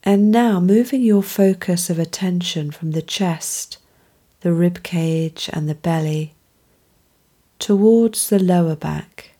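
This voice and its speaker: A woman guides a mindfulness meditation in a calm, soothing way. Her voice is soft-edged and mellow, sitting a little low. She speaks very slowly, letting each gentle phrase settle before the next.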